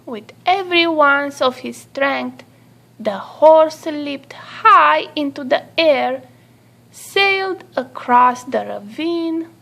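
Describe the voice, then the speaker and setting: A woman reading a children's story aloud in an expressive storytelling manner. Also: high-pitched, takes it slowly, gravelly. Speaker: storybook reader.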